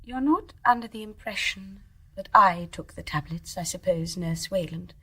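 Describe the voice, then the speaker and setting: An actress playing an anxious, well-bred young British widow with a genteel manner in a radio drama. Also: female voice, fairly low pitch, normal speed, breathy edge, low-quality recording. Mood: defensive. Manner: genteel, expressive. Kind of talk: British stage-play radio acting.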